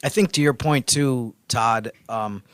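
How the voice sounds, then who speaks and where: A man's voice: medium pitch, measured pace, clean tone, no echo. A man, a podcast co-host, chatting casually in a matter-of-fact way and citing statistics.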